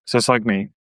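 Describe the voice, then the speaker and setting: A man's voice: mid-range voice, creaky, fairly bright tone, no echo, studio quality. A middle-aged man talking casually about music as a podcast host.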